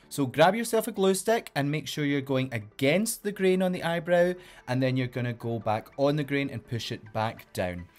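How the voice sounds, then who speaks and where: A man with a Glaswegian Scottish accent, a drag queen hosting a makeup tutorial in an upbeat, playful way and giving step-by-step instructions. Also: a little high. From Glasgow, Scotland.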